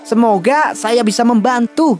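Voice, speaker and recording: A man's voice: extremely high pitch, unhurried, darkish tone, faint music behind. A man playing a humble, brave young wanderer in a children's folktale drama, speaking politely and earnestly. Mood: respectful.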